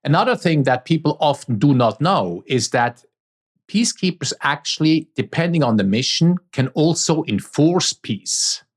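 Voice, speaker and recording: A man's voice: fairly high pitch, animated, moderate pace, slightly rough. A middle-aged man from Switzerland, a native Swiss German speaker with a Swiss German accent, giving conversational answers as an interview guest.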